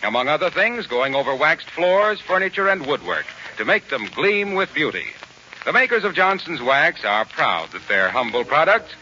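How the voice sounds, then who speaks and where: A man's voice: fairly high pitch, sing-song, normal speed, bright, forceful tone. An American man announcing in a scripted 1940s radio style. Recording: muffled, faintly noisy 1940s radio recording.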